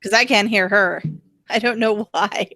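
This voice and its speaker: Bright-voiced woman hosting a casual live stream. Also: medium pitch, fairly even intonation, a little slow, no echo, faint music behind.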